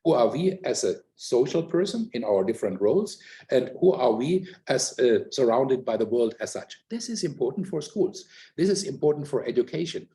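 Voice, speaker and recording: An older man talks through his ideas in a thoughtful, explanatory way. He pauses often with fillers. His voice is mellow, at a middle pitch for a man. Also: very animated. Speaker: academic guest in a conversation. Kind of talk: reflective conversational talk.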